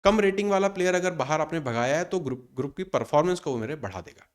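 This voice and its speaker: A man's voice: a little high, lively intonation, fast talker. A man in his thirties teaching an exam-prep maths lesson in Hindi mixed with English terms. He explains step by step and answers his own questions. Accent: Indian Hindi with English terms mixed in. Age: 30s.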